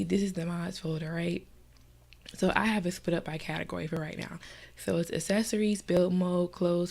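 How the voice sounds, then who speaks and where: A woman's voice: lowish voice, vocal fry. A woman with a stuffy, slightly nasal voice, chatting casually with lots of fillers while walking through her computer screen. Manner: chatty, many fillers, soft at times. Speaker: gaming vlogger. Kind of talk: casual screen-recorded tutorial chat.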